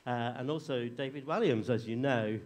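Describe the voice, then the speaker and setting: A man chats on a small comedy club stage as a contestant, rambling and restarting as he goes. His voice sits at an ordinary middle pitch. He speaks into a handheld mic. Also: normal speed, a little mellow. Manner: rambling, hesitant, many restarts. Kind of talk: live stage banter.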